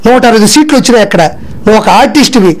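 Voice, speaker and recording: A man's voice: very high voice, expressive, rapid-fire, noisy background. A man giving mocking political commentary, scornful and full of challenging rhetorical questions.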